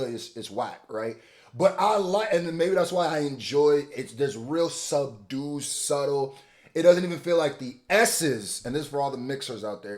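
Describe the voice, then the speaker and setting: A young man, a rapper, talking casually as he reacts to a song, repeating words as he restarts and now and then bursting out loud. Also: fairly high pitch. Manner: loose and chatty, repeats words when restarting, sudden loud outbursts.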